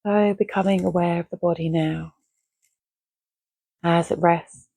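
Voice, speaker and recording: A woman guiding a relaxation meditation in a gentle, measured, soothing way, leaving long pauses between phrases. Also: on the low side, steady tone, gravelly, no echo. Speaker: meditation guide. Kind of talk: guided Yoga Nidra relaxation, soothing and measured.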